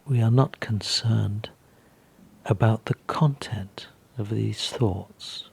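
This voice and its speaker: A man leading a guided meditation in a gentle, calm, unhurried manner with long pauses and a husky, gravelly voice. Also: lowish voice.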